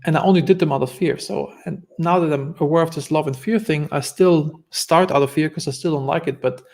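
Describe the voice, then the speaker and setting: Gravelly man with a German accent. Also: a little high, a little fast, darkish tone. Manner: earnest, reflective storytelling. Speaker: guest entrepreneur. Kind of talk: casual interview conversation.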